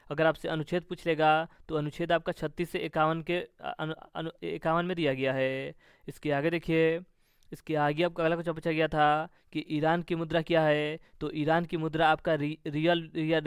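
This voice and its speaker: A man's voice: on the high side, clean tone, dry recording, clean studio sound. A man teaching exam prep, methodically posing each question and then giving its answer.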